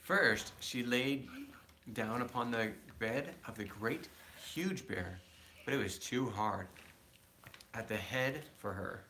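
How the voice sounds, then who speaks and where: Male storybook reader, dad type: average pitch, casual, slightly halting, faintly noisy home recording. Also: bright, forceful tone. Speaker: father reading aloud to child. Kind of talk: casual storybook read-aloud.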